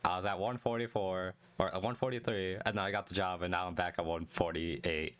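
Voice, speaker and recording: A young man streaming a casual solo chat, calm and low-energy, talking close to the mic and rambling with many restarts. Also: fairly quick, clear, resonant tone. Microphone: close desk mic.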